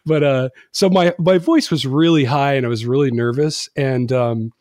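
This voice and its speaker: Male podcast host, middle pitch, dry close sound, laughs at himself a lot. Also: moderate pace, darkish tone, no echo. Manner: laughs often, self-deprecating. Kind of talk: casual conversation.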